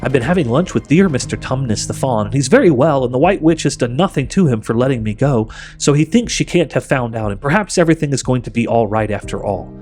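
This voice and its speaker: A man voicing a happy, excited little girl in a storybook, eager and earnest in manner. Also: mid-range voice, fast talker, hardly any pauses, faint music behind, natural voice. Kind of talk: audiobook character voice.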